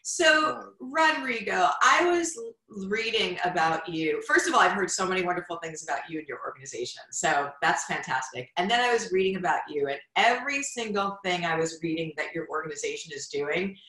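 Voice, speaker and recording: Middle-aged woman podcast host, effusive, bright tone, roomy echo. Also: on the low side, lively intonation, fairly quick, few pauses. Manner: effusive, warm praise with laughs. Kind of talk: casual interview chat. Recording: echoey room.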